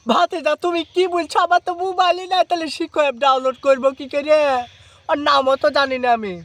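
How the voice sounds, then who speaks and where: A man's voice: extremely high pitch, level tone, quick pace, a bit bright, dry recording. A man playing an aggrieved, grumbling village uncle in an amateur comedy skit, wailing. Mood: exasperated.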